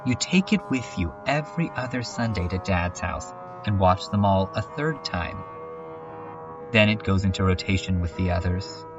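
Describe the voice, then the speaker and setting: A man playing a brooding, haunted adult narrator in an introspective dramatic monologue, reflective and halting. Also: low voice, expressive. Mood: nostalgic, absorbed. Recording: dull lo-fi recording, distant mic. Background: music.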